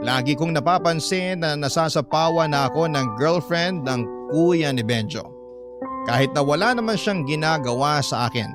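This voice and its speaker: A man with a Filipino accent dramatically reading a listener's letter in the first person as a radio drama narrator. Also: fairly high pitch.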